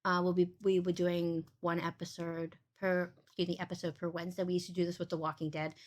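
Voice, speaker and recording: A woman with a big, boomy voice hosts a casual streaming podcast. She rambles cheerfully from topic to topic and swears without a second thought. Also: little pitch movement, brisk. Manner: bubbly, swears freely, rambling. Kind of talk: casual livestream podcast chat.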